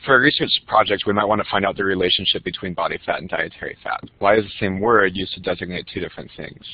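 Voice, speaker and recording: Deep-voiced man instructor with a cold. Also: slightly rough, no echo. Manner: explanatory, slightly halting. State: has a cold. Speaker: college instructor. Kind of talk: webinar presentation. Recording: muffled dry webinar audio.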